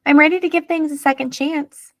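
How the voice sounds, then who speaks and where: A woman voicing an eager, outspoken six-year-old girl in a children's picture book, with a blunt, excitable childlike manner. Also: high-pitched, fairly quick, no room sound, studio quality, pitched above the performer's own voice.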